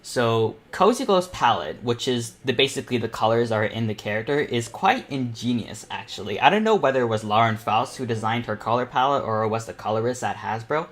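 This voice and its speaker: A teenage male streamer chatting casually as host of an online painting panel, nervous and excitable, in a rambling way. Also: mid-range voice, a little fast, few pauses. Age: teens. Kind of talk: casual livestream chat. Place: indoors at a computer, live stream.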